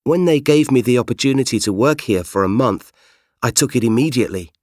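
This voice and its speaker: A man's voice: medium pitch, a little fast, darkish tone, no echo, clean studio sound, lightly acted. A man with a British accent, playing a young writer in a scripted language-course dialogue, easygoing and apologetic. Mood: friendly. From Britain. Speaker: magazine writer character.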